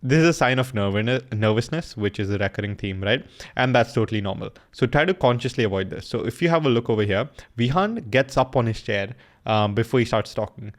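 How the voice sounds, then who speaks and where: A man's voice: mid-range voice, lively intonation, fairly quick. A young man in casual commentary, coaching viewers through a recorded sales call in a loose, slangy way.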